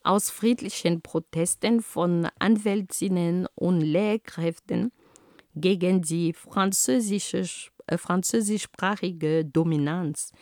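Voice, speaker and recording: Cameroonian woman, second-language speaker, slightly low voice, slow and deliberate delivery, dry close sound. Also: darkish tone, no room sound. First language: Homala. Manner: measured, with frequent "genau" and hesitations. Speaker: speaker on peace and culture. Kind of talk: prepared talk.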